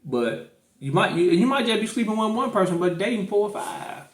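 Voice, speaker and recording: A man's voice: high-pitched, sing-song, fairly quick. St guy on a podcast, real casual n opinionated, keeps repeating himself, roomy echoey sound. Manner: repetitive, emphatic, colloquial. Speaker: podcast host.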